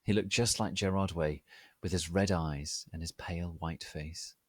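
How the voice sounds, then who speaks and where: A man giving a theatrical, deliberate, mock-serious dramatic reading of fan fiction. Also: deep voice, steady tone, no room sound. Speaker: reader of online fan fiction.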